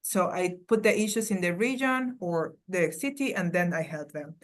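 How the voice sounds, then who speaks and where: A woman's voice: on the low side, lively intonation, normal speed. Earnest Venezuelan-accented woman. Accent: Venezuelan Spanish. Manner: earnest, searching for words. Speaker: guest speaker, academic. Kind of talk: panel discussion answer.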